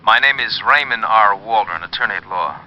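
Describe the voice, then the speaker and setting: A man's voice: on the low side, lively intonation, a little fast, natural voice. A man playing a formal, businesslike attorney in a 1940s radio drama. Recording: muffled old radio recording.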